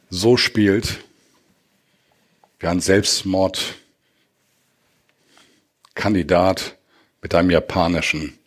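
A man's voice: low-pitched, slightly rough. A middle-aged man, arguing emphatically and rhetorically as an expert in a debate. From Hamburg, northern Germany. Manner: emphatic, rhetorical, deliberate phrasing. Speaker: economic expert, panel guest. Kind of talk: impassioned debate argument.

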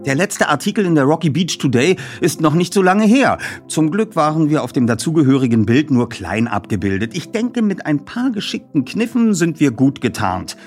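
A man acts out a teenage boy who leads a detective team in an audiobook. He sounds raised in pitch and very animated, with precise, pompous and bossy wording. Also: normal speed, few pauses, soft background music. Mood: matter-of-fact.

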